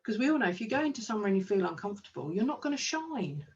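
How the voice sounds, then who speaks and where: A woman's voice: mid-range voice, sing-song, racing, far from the mic, muffled recording. A woman, a kinesiologist and business owner, talking enthusiastically in a casual interview with plenty of ums. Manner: enthusiastic, chatty, with frequent ums.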